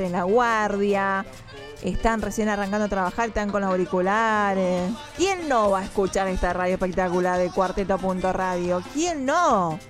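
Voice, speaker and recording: A woman from Mendoza, Argentina, with an Argentine accent, hosting a morning radio show and chatting directly with her listeners. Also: mid-range voice. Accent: Argentine, mixed Mendoza and Córdoba. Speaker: radio host. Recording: music playing behind the voice. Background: music.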